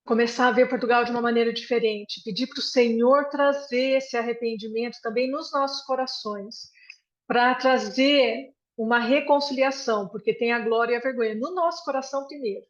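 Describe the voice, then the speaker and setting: Brazilian woman sharing at a prayer meeting, a bit high, rambly and earnest, echoey muffled sound. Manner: earnest, rambling, with frequent restarts.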